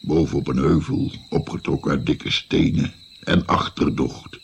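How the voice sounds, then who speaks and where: An actor playing a wry old grandfather recounting his past in a radio drama, with a gravelly voice. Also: a bass voice, steady tone, measured pace, a little hiss or hum, heavily acted. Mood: wry, reminiscing.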